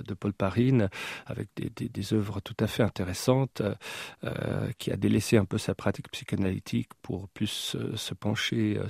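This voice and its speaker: Un homme invité en entrevue, de langue maternelle allemande, qui répond en hésitant beaucoup, avec des mots répétés. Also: male voice, on the low side, creaky, a little mellow, husky, no echo, studio quality. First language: German. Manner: hesitant, many fillers and repeated words. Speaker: interview guest. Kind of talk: reflective interview answers.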